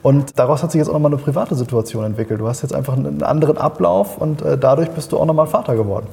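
Interview host, man, dark gravelly voice, reverberant room, asks long framing questions. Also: on the high side, very fast. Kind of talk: podcast interview.

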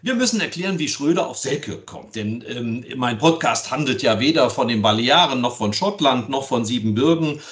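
A man with a medium-pitched voice interviews a guest for a podcast. His phrasing is formal and a little roundabout, yet conversational. He sounds some distance from the microphone. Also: lively intonation, normal speed, hardly any pauses, a bit bright. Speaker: podcast host.

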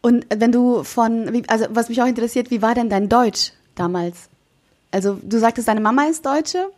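A woman co-hosting a podcast, lively and quick to react with short questions. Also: fairly high pitch. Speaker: podcast co-host. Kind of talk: casual interview chat.

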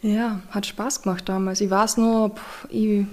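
A woman, hosting a podcast in relaxed interview chat, talkative and quick to laugh. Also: mid-range voice, slight background noise. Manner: chatty, laughs often. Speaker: podcast host.

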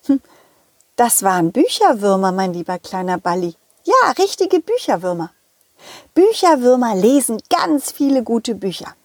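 Woman playing a lively, high-voiced cheerful dog. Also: normal speed, no echo, studio quality. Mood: friendly, eager. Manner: animated, exclaiming, coaxing. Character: cheerful, confident, child-friendly talking dog hero. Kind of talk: children's audio play, talking to young listeners.

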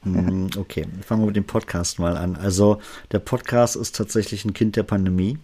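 A man's voice: deep voice, steady tone, fairly quick, warm tone, slightly rough. A man with a Berlin accent, a music producer chatting casually in an interview, rambling with many fillers.

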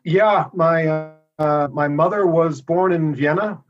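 A middle-aged American man speaking as a guest in a casual interview, relaxed and thoughtful with frequent pauses. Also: on the high side, dull audio. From United States. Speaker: inventor and guest.

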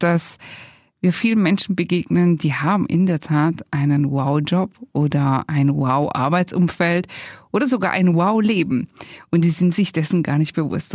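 A woman's voice: lowish voice, a little mellow, no echo, clean studio sound. A woman hosting a conversational interview, reflective and encouraging, building up to long questions. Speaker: podcast host.

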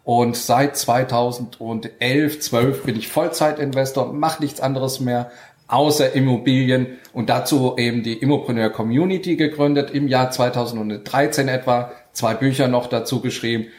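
A man's voice: mid-range voice, steady tone, moderate pace, a little mellow. A man telling his story as a podcast guest, fluent, with many fillers. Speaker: guest, real estate investor. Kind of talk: podcast interview. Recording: roomy echo.

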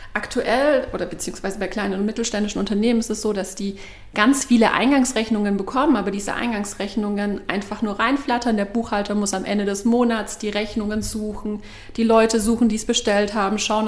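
Businesslike woman in an echoey room. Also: medium pitch. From Swabia, Germany. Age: 30s. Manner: explains at length in a measured, businesslike way. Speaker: startup co-founder guest. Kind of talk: interview answers.

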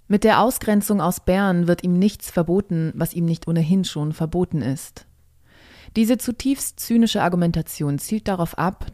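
A woman's voice: fairly low pitch, mellow, no echo, clean studio sound. A woman reading a scripted news report aloud as a presenter.

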